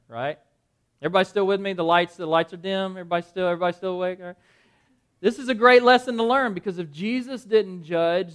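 A man preaches in a high, light voice. He keeps it conversational, firing questions at his listeners and doubling back on words as he goes. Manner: conversational, quizzes the audience, repeats words. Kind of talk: interactive Bible-study sermon. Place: dim room with a live audience.

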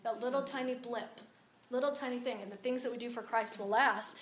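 A woman with a raspy voice giving an animated, confiding storytelling talk to a women's audience. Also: on the high side, a little fast, a little hiss or hum. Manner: animated, confiding, rises and drops a lot. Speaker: speaker at a women's event.

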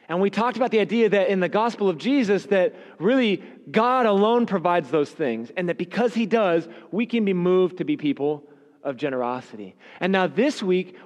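A man preaching a sermon, his voice raspy and hoarse because he is losing it, speaking in an earnest, emphatic cadence. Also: high voice. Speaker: pastor. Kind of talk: sermon with scripture reading and prayer. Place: church sanctuary. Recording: long hall reverb.

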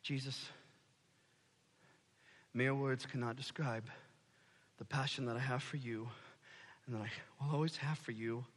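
An excitable, rambling apostle-fisherman who thinks out loud and bounces from thought to thought, as a man plays him in a comic stage skit. His voice sits high and bright and swings widely in pitch. It rings through a reverberant hall over faint background noise. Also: a little fast. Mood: earnest. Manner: rambling, talks to himself out loud, playful.

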